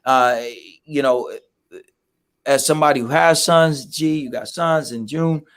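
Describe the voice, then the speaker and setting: A hoarse man hosting a casual discussion, asking probing questions and drawing the others out. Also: on the high side, unhurried, fairly bright tone.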